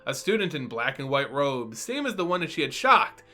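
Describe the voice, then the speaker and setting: Animated high-pitched man narrating fantasy. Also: brisk. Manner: animated, expressive storytelling. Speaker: narrator and reader. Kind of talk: dramatic reading of fantasy story.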